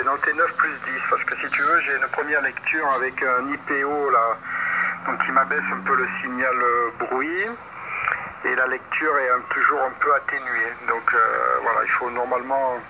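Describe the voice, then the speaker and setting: Rambling man over very noisy ham radio. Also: medium pitch. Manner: rambling, repeats himself. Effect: radio transmission. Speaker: amateur radio operator. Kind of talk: casual radio chat. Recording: muffled shortwave radio, heavy static and hum. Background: loud radio noise.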